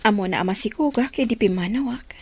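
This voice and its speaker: A woman acting a gentle young student and single mother in an Ilocano radio drama, with an Ilocano accent. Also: mid-range voice, quick pace, slightly rough, lightly acted. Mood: subdued.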